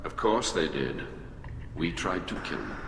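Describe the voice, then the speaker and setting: A man playing a grave, principled and proud alien admiral in a video game, speaking in formal, measured oratory. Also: a bass voice, very animated, vocal fry, background noise, heavily acted. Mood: grave, earnest. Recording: long hall reverb, loud music behind. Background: loud game music.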